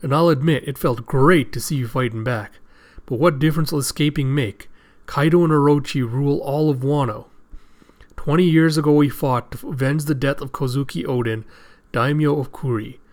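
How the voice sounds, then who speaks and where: A man's voice: medium pitch, moderate pace, a little mellow, close to an everyday voice. A man voicing a broken, weary male prisoner in a story retelling, resigned and bitter.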